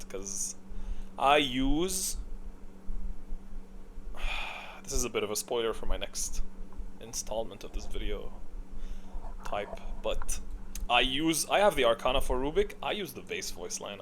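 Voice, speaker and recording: A man's voice: mid-range voice, a bit bright, a little hiss or hum. A man giving casual, opinionated tier-list commentary, thinking aloud in a rambling way with gamer slang. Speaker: gaming video creator.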